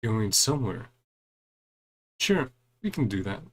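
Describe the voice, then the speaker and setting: A man's voice: fairly low pitch, sing-song, takes it slowly, a bit bright. A man giving casual commentary on a game as he plays, musing aloud and reading bits of dialogue. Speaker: gaming streamer.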